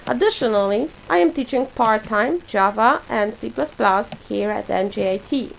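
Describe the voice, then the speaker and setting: A woman in her forties from Poland, a native Polish speaker, reading a prepared self-introduction for her portfolio in a measured, list-like way. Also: on the high side, animated, gravelly, a little hiss or hum, rough recording. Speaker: graduate student presenting a portfolio.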